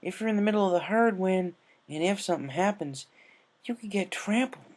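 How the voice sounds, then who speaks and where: A man's voice: sits high, lively intonation, brisk, a little mellow, pitched above the performer's own voice. A man voicing a stubborn, caring cartoon farm pony, a mare with folksy country phrasing. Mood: worried, firm.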